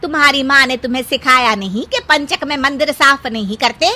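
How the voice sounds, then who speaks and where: A woman's voice: high voice, fairly quick, sharp tone. A woman playing a sarcastic, easily enraged middle-aged mother-in-law in a cartoon moral story, with a theatrical, taunting manner. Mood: indignant, scolding. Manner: theatrical, taunting, indignant exclamations. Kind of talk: dramatized Hindi moral story dialogue.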